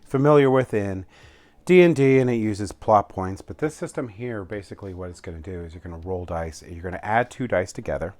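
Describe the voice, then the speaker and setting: Male reviewer, low-pitched, casual and unscripted, halting delivery with frequent self-corrections. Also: a little mellow. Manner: halting, often correcting himself mid-sentence. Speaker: tabletop game reviewer. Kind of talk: casual unscripted product walkthrough.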